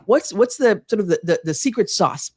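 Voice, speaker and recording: A woman's voice: mid-range voice, lively intonation, normal speed, a little mellow. A woman from Puerto Rico whose first language is Spanish, giving earnest interview answers full of restarts and "you know", with Spanish phrases mixed in. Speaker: guest speaker.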